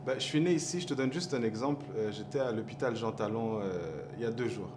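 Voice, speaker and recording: A man's voice: fairly low pitch, fast talker, noisy background. A man from Montreal, talking in a casual interview and searching for words as he reflects. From Montreal, Quebec. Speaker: hip-hop artist guest. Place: café.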